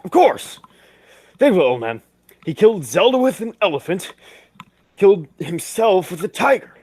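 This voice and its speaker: A man playing a pompous, excitable gentleman sidekick in 1940s radio detective style, with a husky voice and old-fashioned manners of address. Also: high-pitched, moderate pace, no room sound, heavily acted. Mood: excited, theatrical.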